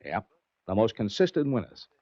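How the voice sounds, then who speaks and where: A fairly low American man's voice as a worried betting-office boss in a 1940s radio drama. He talks plainly in emphatic slang with a lively rise and fall. The old recording is dull and dry. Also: normal speed, no room sound. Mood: frustrated.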